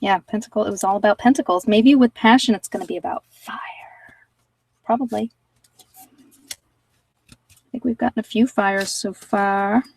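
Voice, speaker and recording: A woman giving a casual, halting tarot reading and chatting with viewers as she thinks aloud. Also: medium pitch, gravelly, dry recording, distant mic, poor audio. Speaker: host of a live card-reading session.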